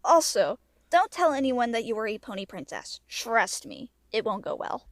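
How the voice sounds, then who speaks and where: A high, animated pony princess voice acted by a woman for an audio drama. She is polite and earnest, and the recording is close and dry. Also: normal speed, no room sound. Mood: gently cautioning. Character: kindly pony princess mentor and teenage alicorn princess.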